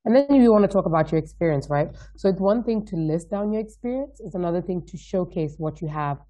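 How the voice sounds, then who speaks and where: Low-voiced woman presenting in a webinar. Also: lively intonation, quick pace, mellow. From Denmark. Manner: upbeat, direct, frequent tag questions. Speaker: guest speaker. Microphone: video call. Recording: dull lo-fi call audio.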